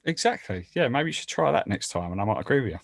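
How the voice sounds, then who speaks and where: A man's voice: mid-range voice, big pitch swings, fast, creaky, no room sound, studio quality. A man giving casual football opinions as a podcast panellist, hesitantly repeating words as he builds each point.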